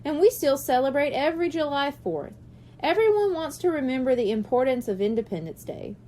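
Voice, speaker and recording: A woman plays a cheerful male first-grade teacher from a children's audiobook, pitched high with lively swings. The teacher explains things patiently and likes to tease playfully. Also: brisk. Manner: explanatory, teasing. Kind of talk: children's audiobook character reading.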